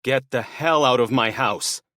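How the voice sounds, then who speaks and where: A man voicing an enraged father who shouts intruders out of his home. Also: mid-range voice, unhurried, no echo, studio quality, pitched above the performer's own voice. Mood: hateful. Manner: shouting, furious, choked.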